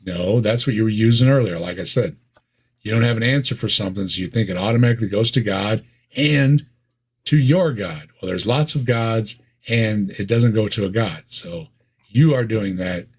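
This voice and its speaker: A man's voice: fairly low pitch, normal speed, slightly rough. A man with a Midwestern American accent, co-hosting a casual reaction chat with wry, sarcastic asides and frequent laughs. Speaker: co-host reacting to videos.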